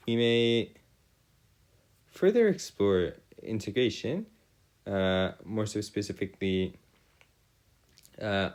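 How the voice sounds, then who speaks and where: Male, math tutor, lowish voice, swooping intonation, formal wording, self-correcting, instructional. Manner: formal, wordy phrasing with frequent self-correction. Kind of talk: video lesson working through calculus problems aloud.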